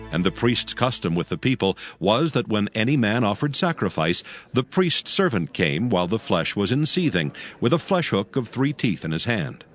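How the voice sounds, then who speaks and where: Lively, lowish-voiced male Bible narrator. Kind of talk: scripted narration of a dramatized audio Bible. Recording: faint music under the voice. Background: music.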